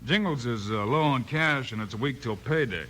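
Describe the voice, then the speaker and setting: An actor playing a steady, courteous American frontier lawman with a commanding, clipped manner in a 1950s radio western. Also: mid-range voice, normal speed. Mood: cool, shrewd. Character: steady, decisive, courteous Old West lawman hero. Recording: hissy old 1950s radio recording.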